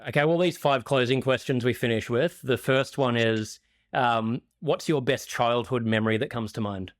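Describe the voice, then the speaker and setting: A man hosting a podcast, relaxed and agreeable, with many fillers. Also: medium pitch, normal speed, no room sound, studio quality. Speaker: podcast host. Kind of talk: casual interview chat.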